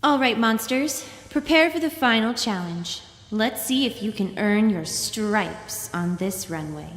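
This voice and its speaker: A woman's voice: lively intonation, measured pace, fairly bright tone. A woman voicing a fierce tiger monster who hosts a TV competition, with grand, theatrical delivery. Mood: commanding. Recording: booming reverberant hall, music underneath.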